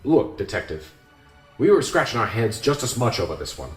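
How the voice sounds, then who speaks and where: An actor playing an older small-town police sergeant in a radio drama, plain-spoken, weary and defensive. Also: male voice, medium pitch, big pitch swings, a little fast, close to an everyday voice. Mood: weary, explaining. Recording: roomy echo with music behind. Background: music.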